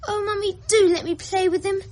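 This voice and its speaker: A woman's voice: extremely high pitch, fairly even intonation, brisk, a little mellow. A woman voicing a lonely, imaginative young boy in a radio drama, talking in a plaintive, childlike way. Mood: pleading. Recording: old radio play with faint hiss.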